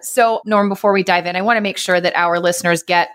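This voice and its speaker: A woman hosting a business podcast, upbeat and lively, with casual, informal phrasing. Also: lowish voice, fast talker, no room sound, clean studio sound. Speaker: podcast host. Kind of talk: podcast intro and interview.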